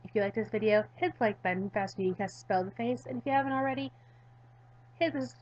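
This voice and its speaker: A woman's voice: fairly high pitch, fast talker, darkish tone, highs cut off. A woman gaming YouTuber reading a visual novel aloud, with casual commentary to viewers.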